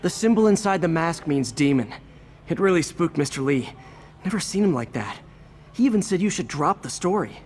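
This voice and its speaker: A man's voice: on the high side, lively intonation, darkish tone, close to an everyday voice. Peter Parker, an earnest young hero, voiced by a man. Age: young adult. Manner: quick, flustered, self-talking.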